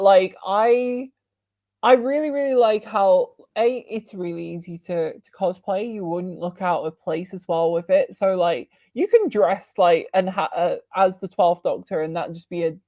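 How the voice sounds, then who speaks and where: Woman host, slow and meandering, lots of filler words, dry close sound. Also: lowish voice, dark tone, no room sound. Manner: rambling, heavy on fillers. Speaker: podcast host. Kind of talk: casual podcast chat.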